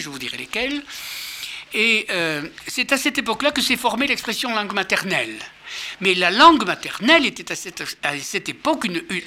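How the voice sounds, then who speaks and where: Older man lecturing, high sharp voice. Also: slight background noise. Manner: hesitant, many fillers and restarts, rhetorical questions. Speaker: author and lecturer. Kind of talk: spoken lecture to an audience.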